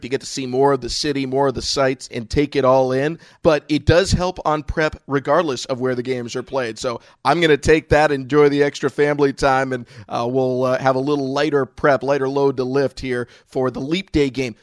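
A man's voice: mid-range voice, no room sound. Male play-by-play broadcaster hosting a sports podcast; mid-range voice, dry recording. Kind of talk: sports podcast analysis and interview.

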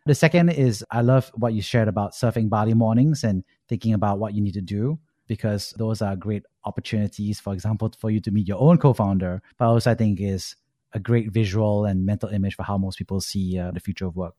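A man's voice: on the low side, fairly even intonation, a little fast, warm tone, no echo, clean studio sound. A man hosting a podcast, upbeat as he asks questions and sums up the conversation. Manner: upbeat, summarizing and thanking. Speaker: podcast host.

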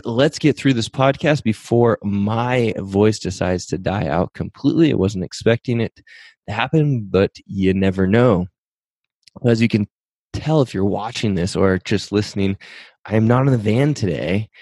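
A man in his thirties from the Pacific Northwest, hosting his own fitness podcast in an upbeat, energetic way while hoarse and losing his voice. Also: low-pitched, no echo. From Pacific Northwest, USA. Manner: upbeat, energetic, pep-talk delivery. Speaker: podcast host and fitness coach. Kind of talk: casual solo podcast talk with sponsor reads.

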